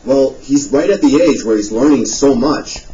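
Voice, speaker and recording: A man reads a novel aloud with a lively, rising and falling voice. He sits some way off the mic, and the sound comes through dull and muffled with a faint hiss behind it. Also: mid-range voice, moderate pace, distant mic. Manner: expressive reading with lively dialogue. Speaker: audiobook narrator. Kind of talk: scripted novel narration.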